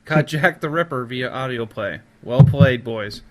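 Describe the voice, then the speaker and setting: A man's voice: mid-range voice, a little slow, a little mellow. A man hosting a podcast in a casual, conversational style. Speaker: podcast host.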